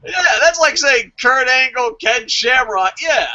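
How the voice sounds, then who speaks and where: Animated high-pitched man hosting a podcast. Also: measured pace, muffled recording. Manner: profane, informal. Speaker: podcast host. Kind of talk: casual wrestling review chat.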